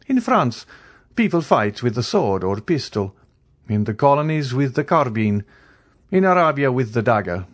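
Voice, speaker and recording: Male narrator as aristocratic count, storybook villainous hauteur, mocking, grand declamation, raised swooping pitch. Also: big pitch swings, moderate pace. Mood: coldly confident. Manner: haughty, grandly declamatory, formal. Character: proud, theatrical aristocratic count, coldly mocking and menacing.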